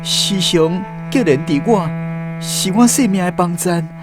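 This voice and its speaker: An actor with a Taiwanese accent playing a wretched, submissive wanderer and father in a radio drama, pleading in drawn-out phrases. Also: sits high, over music. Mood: anguished pleading.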